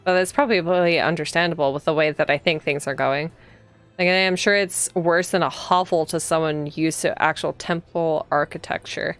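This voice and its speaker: A woman's voice: low-pitched, fairly even intonation, normal speed, a bit bright, clear, resonant tone, faint music behind. A woman streaming a game, reading its text aloud and adding casual, thoughtful asides. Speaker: streamer playing a visual novel.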